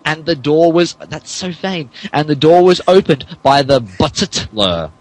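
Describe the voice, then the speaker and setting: A man's voice: a little high, expressive, measured pace, low-quality recording. A man narrating a comic dramatic reading in a mock-serious way, often stumbling over his words. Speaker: podcast host reading as narrator.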